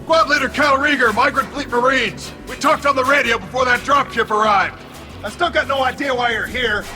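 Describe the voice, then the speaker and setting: A man's voice: very high voice, brisk. An actor voicing a tough, terse male alien marine squad leader who gives clipped, slangy orders in a video game. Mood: urgent. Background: game music.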